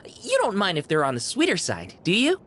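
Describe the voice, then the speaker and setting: A bubbly, courteous toy concierge, swooping intonation. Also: female voice, medium pitch, wildly swooping pitch, fairly quick, very noisy. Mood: polite, welcoming. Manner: courteous, theatrical, effusive. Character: polite, bubbly living stuffed toy concierge. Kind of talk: scripted video game character acting.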